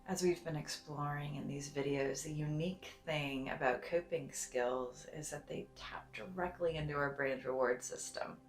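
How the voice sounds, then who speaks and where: A woman leading a guided meditation in a soft, soothing, deliberate way, sometimes breathy, with long pauses. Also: deep voice, roomy sound. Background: soft music.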